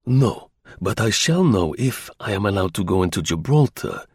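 A man's voice: fairly low pitch, expressive, normal speed, a little mellow, breathy edge, no room sound, clean studio sound. An aggrieved, podgy agent acted by a male audiobook reader pleads his case. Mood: eager, scheming.

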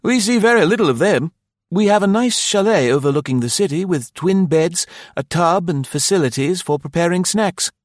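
Audiobook role: hearty British host, jaunty and clipped, voice lifted high above natural register. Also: male voice, lively intonation, moderate pace, no room sound, clean studio sound. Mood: brisk, hospitable. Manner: jaunty, effusively polite, clipped phrases. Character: cheerful, fussy, hearty British male guesthouse host. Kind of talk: audiobook character voice.

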